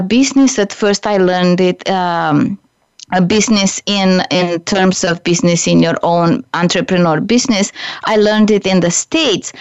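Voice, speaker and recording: A woman's voice: mid-range voice, clean tone, dry recording. Effusive woman host, dull dry studio. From Romania. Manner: warm, effusive, speaks slowly with frequent restarts and "like". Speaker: podcast host and business coach. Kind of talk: solo podcast monologue.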